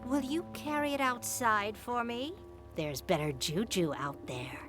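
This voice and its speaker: A scheming voodoo priestess in an adventure game. Her delivery is theatrical and enticing, with wide swings of pitch, and music plays clearly behind her. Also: expressive, measured pace. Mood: conspiratorial. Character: mysterious, scheming voodoo priestess seeking her lost power. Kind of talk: video game character acting.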